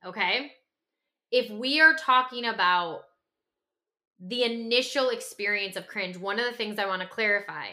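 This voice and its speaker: A woman co-hosting a podcast in animated conversation, emphatic and often checking in with her listener. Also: medium pitch, moderate pace. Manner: acts out imagined reactions in quoted voices. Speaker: podcast co-host.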